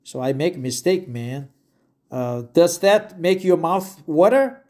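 A man reads aloud at a very slow, halting pace. His voice is fairly high but dark and mellow. He keeps repeating phrases and tripping over hard words. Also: expressive. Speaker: English learner reading aloud. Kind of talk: reading a web article aloud with asides.

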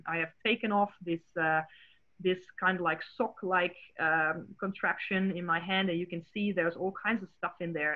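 Dutch-accented woman on a tinny call. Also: fairly low pitch. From Netherlands. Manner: friendly, explanatory, many "uh" fillers. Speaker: marine biologist and educator. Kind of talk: presenting slides on a video call. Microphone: video call. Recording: tinny phone-like call audio.

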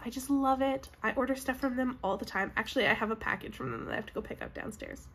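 A woman's voice: fairly high pitch, fast, slightly rough. A young Canadian woman hosting a knitting vlog from home, chatty and upbeat, sounding nasal and sniffly from a cold. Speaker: knitting podcast host. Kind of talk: casual chat to camera. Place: home room with bookshelves, indoors. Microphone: camera in front at desk distance.

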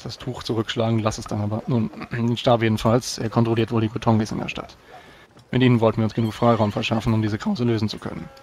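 A man speaking formally and deliberately as a proud, pious knight in a tabletop role-playing game. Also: on the low side, darkish tone. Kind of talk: in-character role-play narration. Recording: clear background noise.

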